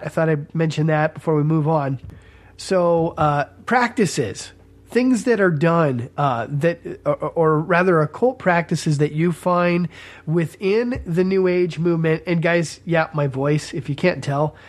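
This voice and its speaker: Male podcast host with a head cold, a touch high-voiced, congested and chatty. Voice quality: congested, scratchy throat. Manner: casual and chatty, coughs and pauses. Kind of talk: teaching podcast monologue.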